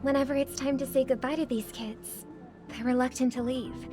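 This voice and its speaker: An actress playing a kind, graceful young singer in a video game, speaking politely and with composed sincerity. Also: female voice, high-pitched, fairly even intonation, moderate pace, close to an everyday voice. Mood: modest, kind. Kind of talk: video game cutscene acting. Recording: loud music under the voice.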